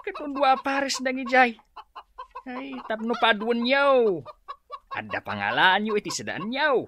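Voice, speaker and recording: A man's voice: very high-pitched, sing-song, a little slow, background noise, full character voice. A man playing a homely older village father in a radio comedy-drama, with an Ilocano accent. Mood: folksy.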